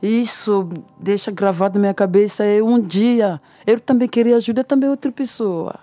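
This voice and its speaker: A woman in her forties from Kinshasa, Congo, with a Congolese French accent. French is her first language, and she pauses to search for words as she tells her own story in an interview. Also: medium pitch, warm tone, no echo, faint music behind. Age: 40s. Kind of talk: personal testimony.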